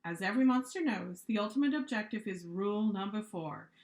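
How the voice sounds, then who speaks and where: Storybook casting: woman as a strict, formal monster schoolmaster, male, issuing brisk instructions in an echoey room. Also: mid-range voice, lively intonation, darkish tone, close to an everyday voice.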